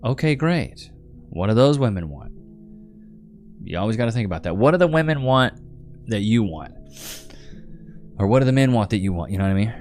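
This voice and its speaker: A man's voice: lowish voice, animated, brisk, pauses often, a little mellow, faint music behind. A man from Texas, hosting a casual livestream, reading viewer comments and calling people bro. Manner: laid-back, calls viewers bro and brother. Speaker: livestream host.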